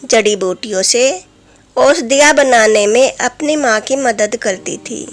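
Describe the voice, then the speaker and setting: A woman's voice: fairly high pitch, a little slow. A woman narrating a scripted fairy tale for children. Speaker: storyteller.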